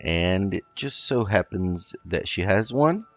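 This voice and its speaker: A man hosting a roleplay gaming video, talking in a measured, halting way as he plays and narrates. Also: deep voice, lively intonation, creaky, dull audio, faint music behind. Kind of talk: roleplay let's play commentary.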